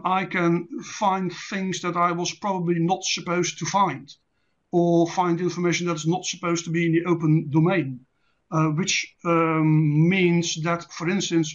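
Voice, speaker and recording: A man with a Dutch accent, a native Dutch speaker, presenting an online lecture in a measured, deliberate, didactic way. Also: a little high. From Netherlands. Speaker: presenter, intelligence specialist. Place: online presentation with screen share. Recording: dull lo-fi online call audio.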